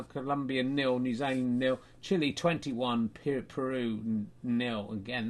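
A man hosting a comedy show, reading out made-up football scores in a dry, deadpan, rambling way. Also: mid-range voice. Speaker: comedy podcast host. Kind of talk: mock football results reading and chat.

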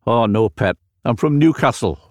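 A man's voice: medium pitch, expressive, moderate pace, a little mellow, dry recording, clean studio sound, close to an everyday voice. A man voicing a friendly, tipsy young man from Newcastle with a Geordie accent, in a memoir reading. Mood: breezy, friendly. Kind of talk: acted dialogue in an audiobook memoir.

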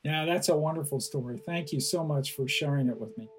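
A man's voice: fairly high pitch, fairly quick. A man hosting an interview podcast, asking friendly, encouraging questions. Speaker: podcast host.

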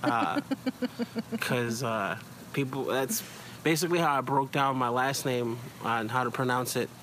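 A man's voice: medium pitch, animated, unhurried, fairly bright tone, a little hiss or hum, rough recording. A man with a Chicago accent in casual, slangy podcast chat, joking, swearing and rambling as a guest. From Chicago, USA.

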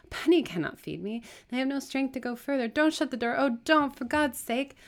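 A woman's voice: high voice, expressive, brisk, voice pushed up. A woman voicing a starving young beggar woman in a novel reading, desperate and pleading in distress.

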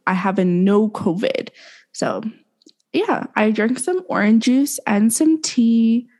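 A woman's voice: fairly high pitch, slow, warm tone. A young woman co-hosting a casual podcast, giggly and slangy, with a slightly sore throat. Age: young adult. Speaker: podcast co-host. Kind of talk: casual chat.

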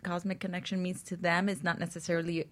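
A woman's voice: lowish voice, little pitch movement, fast talker. A woman speaking as a panelist in a casual, conversational radio discussion. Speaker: talk show panelist.

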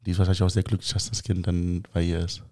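A male interviewer with a low, mellow voice, asking curious, short follow-up questions in casual conversation. The recording is dry and close. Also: little pitch movement, fast talker, no room sound.

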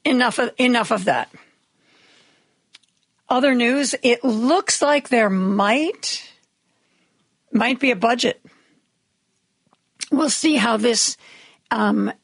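A woman hosting a radio talk show, raspy from medication, giving chatty, wry news commentary with opinionated asides. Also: a little high, a bit bright, dry recording. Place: radio studio.

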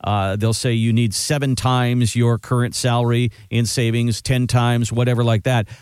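A man hosting a radio talk show, asking a guest questions in a steady, friendly way. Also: medium pitch, normal speed, clear, resonant tone, dry recording, clean studio sound. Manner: steady, friendly interviewer, reads promos.

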